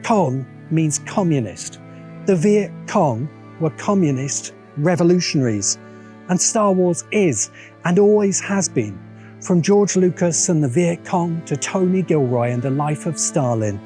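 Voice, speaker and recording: A British man narrating a scripted video essay in a measured, emphatic, deliberate way. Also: a little high, animated, dark tone. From Britain. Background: background music.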